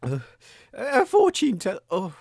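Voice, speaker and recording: Man playing an ailing, high-voiced, melodramatic radio host. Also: huge pitch swings, a little mellow, dry recording, studio quality, exaggerated voice. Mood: feeble, struggling. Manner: faltering, halting delivery. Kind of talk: comic radio acting.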